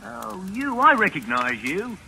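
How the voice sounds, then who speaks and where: High, blustering police sergeant. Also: male voice, very animated, a little slow, gravelly, pushed and bright, exaggerated voice. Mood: astonished. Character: blustering, obliging male police sergeant in a radio mystery. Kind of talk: 1940s radio acting. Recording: noisy vintage radio recording.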